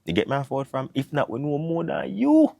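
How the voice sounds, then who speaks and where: A man's voice: mid-range voice, wildly swooping pitch, normal speed, gravelly, a little mellow, dry recording. A man in his thirties from Jamaica with a Jamaican accent, talking casually to camera and mixing patois into his English. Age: 30s. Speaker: vlogger. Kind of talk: casual monologue.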